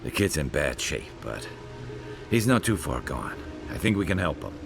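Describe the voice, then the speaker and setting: Casting: sardonic adventuring sidekick in a fantasy game, bass register, creaky gravel, gruff but easygoing. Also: male voice, very animated, moderate pace, music in the background, heavily acted. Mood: concerned. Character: gruff, sardonic, laid-back male adventuring companion. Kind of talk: video game voice acting.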